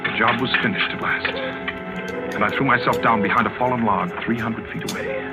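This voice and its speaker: An actor as a hunted man over loud music. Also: mid-range voice, brisk, natural voice. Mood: dread, urgency. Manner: dramatic, intense first-person storytelling. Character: hunted man, desperate but resourceful, recounting his flight. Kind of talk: old-time radio horror drama narration. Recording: old radio broadcast with loud music. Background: loud dramatic music.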